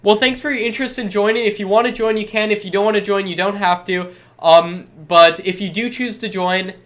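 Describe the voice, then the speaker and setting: A young man hosts a live webinar, reading questions from the chat and answering each one in an upbeat, reassuring way. His voice sits fairly high for a man. A head cold leaves it a little stuffed up. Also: steady tone, a little fast, a bit bright. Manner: upbeat and reassuring, reads chat aloud then replies.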